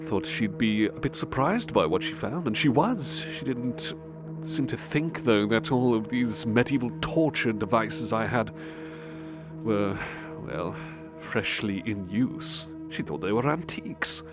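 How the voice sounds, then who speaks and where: Refined killer nobleman, slow savouring delivery, gloating, theatrical, breathy drops, horror audio drama, prominent music bed. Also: fairly low pitch, animated. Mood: smug, bored disdain. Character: refined, sadistic serial-killer nobleman confessing his crimes. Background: music under the voice.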